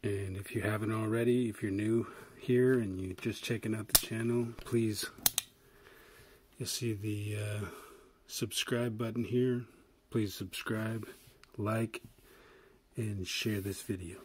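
A man casually demonstrating a pocket knife at a table, relaxed and rambling, with chuckles and filler words. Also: lowish voice, slow pace. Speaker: channel host reviewing a pocket knife. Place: indoors at a wooden table. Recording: steady background noise with handling clicks.

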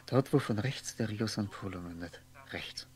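A man's voice: on the low side, moderate pace, lightly acted. An actor playing a lonely, brooding man who muses and repeats himself absently in a 1960s radio play. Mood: musing. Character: lonely brooding man lost in his memories. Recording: faintly humming, noisy 1960s radio recording.

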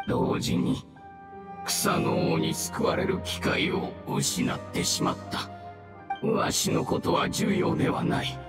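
An actor playing a dying ancient mushroom spirit in a game, weary and devoted, with a weak, halting, archaic old man's delivery. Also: sits high, reverberant. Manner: slow, archaic elderly speech, halting. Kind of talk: dramatic game dialogue acting.

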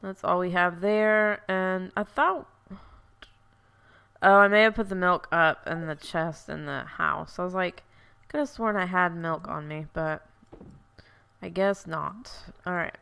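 Stuffy, gravelly-voiced woman gaming. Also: lowish voice, a little slow, sharp tone. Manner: chatty, self-correcting, thinking aloud. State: stuffy, congested. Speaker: let's play host. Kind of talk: casual gameplay commentary.

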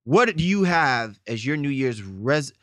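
A man speaks as a sports podcast co-host at a middling pitch. He lays out his opinions emphatically and at length, and the recording is dry and close, with little room sound. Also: very animated, unhurried, a bit bright, dry recording.

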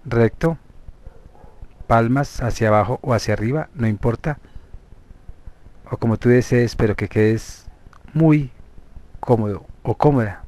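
A man with a Colombian Spanish accent leading a guided hypnosis meditation as a therapist, speaking deliberately in short, separated phrases. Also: mid-range voice, a little mellow. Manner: slow, deliberate, pausing between short phrases.

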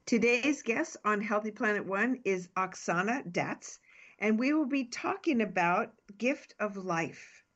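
A woman's voice: medium pitch, lively intonation, measured pace, fairly bright tone. Upbeat woman hosting a radio talk show. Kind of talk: reading a scripted introduction. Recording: slightly dull, lo-fi sound.

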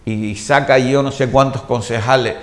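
A man's voice: medium pitch, fairly even intonation, normal speed. A man in his sixties with a Canarian accent, arguing his point insistently on a TV studio panel and repeating himself to hold the floor. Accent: Canarian Spanish. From Canary Islands. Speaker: debate panelist. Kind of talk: heated political debate. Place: TV studio set. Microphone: lapel mic.

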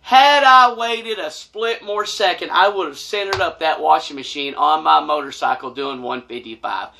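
A middle-aged man tells a personal story casually to camera in an animated, folksy, colloquial way. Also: on the high side, moderate pace, gravelly, a little hiss or hum. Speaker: storyteller, vlog host. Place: small wood-panelled room indoors.

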